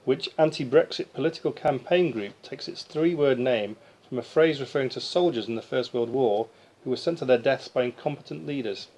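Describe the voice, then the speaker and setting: A man's voice: mid-range voice, brisk, a little mellow, low-quality recording. A man acting as quiz master, reading questions aloud to contestants at a live quiz. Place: live quiz night, group in a room.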